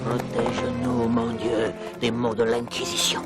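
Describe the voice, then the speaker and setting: An actor playing a humble, kind-hearted water seller in a dubbed animated film, pleading and exclaiming. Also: male voice, mid-range voice, measured pace, close to an everyday voice. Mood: worried. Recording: music behind the voice.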